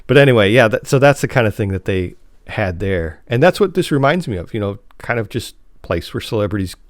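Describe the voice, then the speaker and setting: A man's voice: on the low side, expressive, fairly quick, no room sound, studio quality. A man co-hosting a podcast, chatting informally and enthusiastically like a fan. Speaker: podcast co-host. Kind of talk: casual chat about TV shows.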